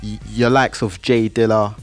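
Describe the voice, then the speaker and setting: A man's voice: on the low side, flat delivery, moderate pace, soft background music. A man, interviewing a guest with short questions and brief murmurs of agreement. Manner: gives brief listening murmurs. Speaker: interviewer and host.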